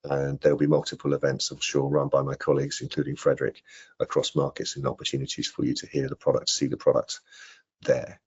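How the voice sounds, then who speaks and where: Male product presenter, middle-aged, extremely deep and husky, slightly lo-fi video call sound. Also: monotone, quick pace, darkish tone. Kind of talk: product training presentation with question and answer. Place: indoor room on a video call. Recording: dull, lo-fi video call audio.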